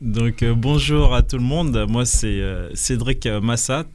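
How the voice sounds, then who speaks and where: A man in his thirties from Congo whose first language is Lingala, a chef talking as a guest in a radio studio in a relaxed, hesitant way with many fillers. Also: mid-range voice, measured pace. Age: 30s. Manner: relaxed, hesitant with frequent fillers, laughs often. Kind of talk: casual interview talk.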